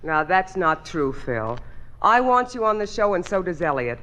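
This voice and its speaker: An actress playing a patient, soothing wife with a teasing streak in a 1950s radio comedy. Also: female voice, fairly low pitch, very animated, normal speed, a bit bright, low-quality recording.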